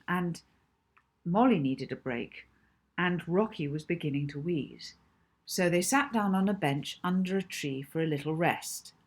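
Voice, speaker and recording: A woman's voice: fairly low pitch, slightly rough. A woman narrating a children's audiobook, reading scripted story prose expressively as its author.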